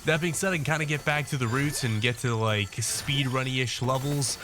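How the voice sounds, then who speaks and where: A man's voice: medium pitch, moderate pace, background noise. A man casually commenting on his gameplay as a video host, rambling with many fillers and restarts, his nose a bit congested.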